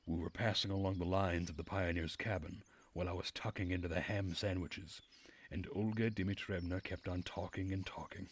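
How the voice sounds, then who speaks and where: A man with a husky voice reading a visual novel aloud as narration while streaming the game. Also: sits low, steady tone, a little fast, slight background noise.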